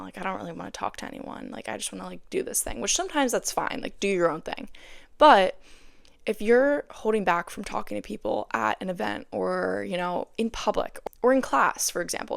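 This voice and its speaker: A young woman hosting her own podcast, talking casually and sounding tired, with a raspy voice and lots of "like" in her speech. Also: mid-range voice, no echo, clean studio sound. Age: young adult. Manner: chatty, peppered with "like". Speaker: podcast host. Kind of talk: casual solo podcast chat.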